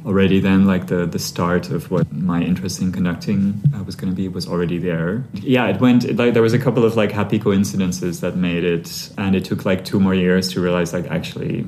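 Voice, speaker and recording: A man from Sri Lanka in his thirties, a conductor talking through his path in a relaxed interview, thinking aloud with many fillers and restarts. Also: sits low, brisk, hardly any pauses. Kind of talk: conversational interview answers.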